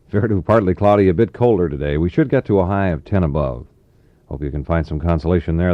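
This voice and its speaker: A man's voice: deep voice, mellow. An American man working as a 1960s middle-of-the-road radio DJ, chatting in an easygoing, folksy way. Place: radio studio. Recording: dull, roomy 1960s AM radio aircheck.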